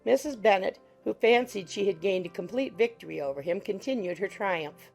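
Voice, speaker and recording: A woman's voice: medium pitch, lively intonation, moderate pace, vocal fry. A woman narrating a classic novel as an audiobook in a scripted literary reading style. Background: soft music.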